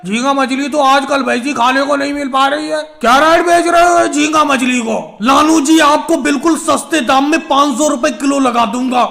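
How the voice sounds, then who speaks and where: A man voicing chatty, bickering male politician caricatures in an animated comedy skit, with a creaky voice. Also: very high voice, normal speed, hardly any pauses, full character voice. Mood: haggling, exasperated.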